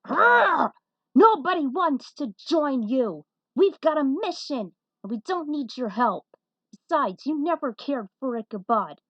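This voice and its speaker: Woman acting a loud, scornful female firebrand. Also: high-pitched, sing-song, unhurried, no room sound, voice pushed up, exaggerated voice. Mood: angry, scornful. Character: defiant, hot-headed woman fighter taunting a foe. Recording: dull, muffled lo-fi audio.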